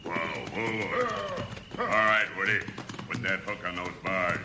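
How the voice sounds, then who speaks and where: A man playing a blunt, gruff outlaw henchman with an American accent in a 1950s radio western. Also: sits low, wildly swooping pitch, slow, full character voice. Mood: urgent. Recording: noisy vintage radio recording.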